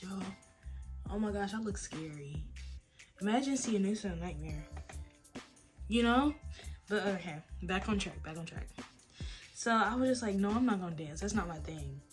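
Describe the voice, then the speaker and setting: A woman's voice: medium pitch, normal speed, long pauses, soft background music. A young adult woman, chatting casually and telling stories in a late-night Q&A at home. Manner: chatty, animated storytelling with lots of "like" and "y'all". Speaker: vlogger. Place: at home, face mask on.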